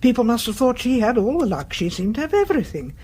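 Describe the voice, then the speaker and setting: A genteel older British woman, a nosy neighbour in a radio play. The voice is very breathy and husky, fairly high, with lilting swoops as she politely coaxes out secrets. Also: very animated, fast talker, darkish tone. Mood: probing, tactless. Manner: polite, coaxing, inquisitive. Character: genteel, sympathetic but prying older female neighbour. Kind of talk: radio drama acting.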